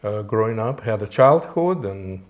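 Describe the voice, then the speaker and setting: A man in his forties from Moldova, a native Romanian speaker, answering interview questions in a halting way with many fillers and restarts. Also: fairly low pitch, expressive. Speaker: interview guest.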